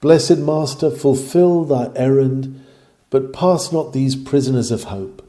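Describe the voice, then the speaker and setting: Mellow-voiced man reading a sermon. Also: medium pitch, slow. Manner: declamatory, earnest, formal old-fashioned phrasing. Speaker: reader of a historical sermon.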